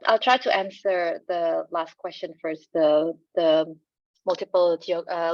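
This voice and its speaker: A woman with an Indonesian accent speaks on a conference panel in a low voice. She stops and restarts often and fills the gaps with ums. The sound is dry and a little muffled. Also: no room sound. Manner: halting, many fillers and restarts, light laughs.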